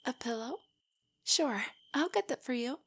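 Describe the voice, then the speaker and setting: A woman playing a shy, nerdy young girlfriend in an intimate audio roleplay, soft and breathy, stammering with nerves. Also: high-pitched, expressive, measured pace, heavily acted. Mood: nervous, shy. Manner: nervous, stammering, hesitant rambling. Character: shy, nerdy, easily flustered young adult girlfriend on a date.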